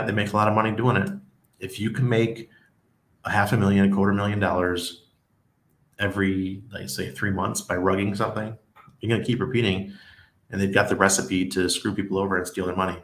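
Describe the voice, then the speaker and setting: A man's voice: deep voice, a bit bright, slight background noise. A man in his forties with a Western New York accent, casually chatting on a livestream, chuckling often and reading viewer comments aloud. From Western New York, USA. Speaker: livestream host. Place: small home room with neon shelf lights. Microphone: desk mic on a stand beside him. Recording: echoey room.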